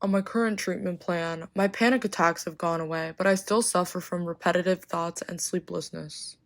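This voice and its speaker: A woman's voice: lowish voice, fairly even intonation, normal speed, no echo, studio quality, lightly acted. A young woman voicing a 46-year-old man who is a patient with anxiety, reading his lines plainly. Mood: calm.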